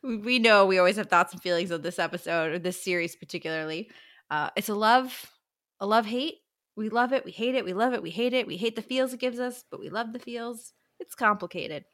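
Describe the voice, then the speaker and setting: A woman's voice: mid-range voice, fairly quick, a bit bright. A woman hosting a book podcast, chatting casually in a slangy, exclamatory way. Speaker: podcast host.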